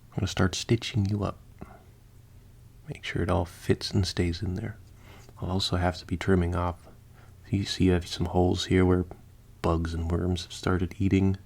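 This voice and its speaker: Deep, gravelly man speaking softly. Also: level tone, a little hiss or hum. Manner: soft, unhurried, partly whispered and gently rambling. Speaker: audio roleplay performer playing a zombie mortician. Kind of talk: ASMR-style roleplay monologue.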